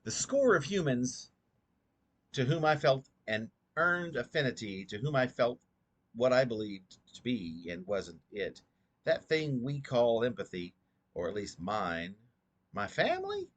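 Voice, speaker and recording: A man reading his own poem aloud in an earnest, rhetorical, dramatic recitation with a halting delivery. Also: mid-range voice, huge pitch swings.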